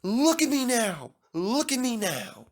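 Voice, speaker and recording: Man voicing an eager, excitable little engine, pitched up. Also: slow, heavily acted. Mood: excited, happy. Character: eager, polite young male tank engine, excitable and anxious.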